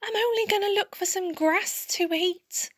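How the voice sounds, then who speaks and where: Woman as a squeaky, timid little billy goat. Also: normal speed, a bit bright. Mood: timid, pleading. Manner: squeaky, quaking. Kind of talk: children's storybook character voice.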